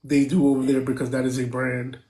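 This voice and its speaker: A man's voice: mid-range voice, monotone, a little fast, warm tone. A man hosting a live stream, chatting casually, thanking viewers and stopping often with fillers.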